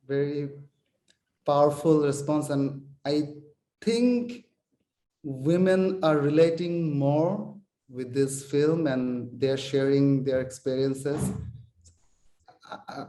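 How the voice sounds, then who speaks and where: A man speaks slowly, in a low, mellow voice. He halts and repeats words as he searches for what to say. The sound is roomy and echoey, as in a cinema hall. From Bangladesh. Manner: halting, repeats words while searching for phrasing. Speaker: film director. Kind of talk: post-screening Q&A answers.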